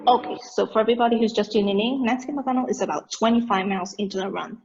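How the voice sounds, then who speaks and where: A woman reading the part of an excited amateur football commentator in a play table read, calling the action play by play. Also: on the high side, fast, breathy edge, dull audio.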